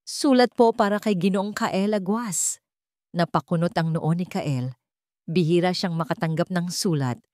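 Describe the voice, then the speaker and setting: Low-voiced woman narrating a story. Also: darkish tone, no echo, clean studio sound. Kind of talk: scripted dramatic story narration.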